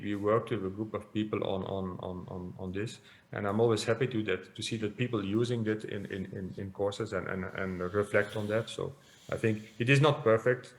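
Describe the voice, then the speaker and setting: Low gravelly Belgian-born man lecturing. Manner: hesitant, many repeated words and fillers. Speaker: interventional cardiologist lecturer. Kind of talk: conference lecture.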